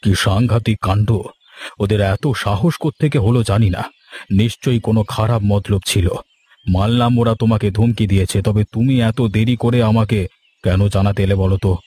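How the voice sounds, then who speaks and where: A man's voice: on the low side, steady tone, normal speed, warm tone, husky. A man voicing an authoritative village headman in a cartoon, stern and concerned, with theatrical delivery. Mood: shocked, stern. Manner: theatrical, declarative. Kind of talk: Bengali cartoon voice acting.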